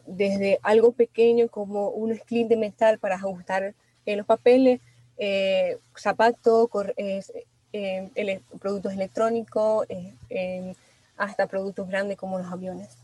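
A young Venezuelan woman answering radio interview questions as an industrial designer, pausing often with fillers and restarts. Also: mid-range voice, warm tone, no room sound, poor audio. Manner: hesitant, many fillers and restarts. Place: radio studio.

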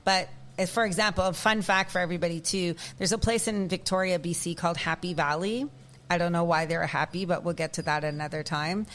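A woman's voice: lowish voice, fairly even intonation, brisk, fairly bright tone. A woman co-hosting a radio show, telling history in an enthusiastic, storytelling way. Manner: storytelling, enthusiastic, sharing facts. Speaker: radio co-host. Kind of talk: casual radio chat.

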